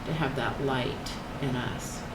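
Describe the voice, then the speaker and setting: Older woman, low slightly croaky voice, soft-spoken painting chat; echoey room with a steady air-conditioner hum. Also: takes it slowly. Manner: soft-spoken, thinks aloud with trailing restarts. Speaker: painting channel host. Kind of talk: casual talk while painting, faith reflection.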